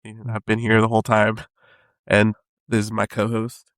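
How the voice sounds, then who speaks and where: A man co-hosting a podcast in casual chat, husky from a head cold, laid-back and rambling. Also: lowish voice, monotone, normal speed, a bit bright, dry recording. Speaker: podcast co-host.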